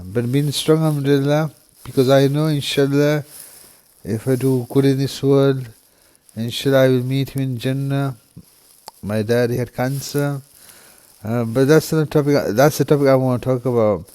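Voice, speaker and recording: A man from the United Kingdom speaking straight to camera in a halting, subdued way, full of restarts and "you know" fillers. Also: mid-range voice, clean tone. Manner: subdued and quiet, grieving. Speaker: vlogger. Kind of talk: emotional personal monologue.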